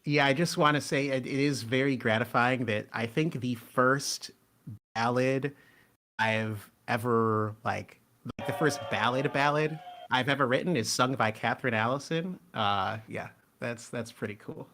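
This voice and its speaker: A man hosting casual live stream commentary, talking in a halting, rambling way and often cutting himself off. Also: medium pitch, fairly bright tone, a little hiss or hum. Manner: halting, rambling, self-interrupting. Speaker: host and show's writer.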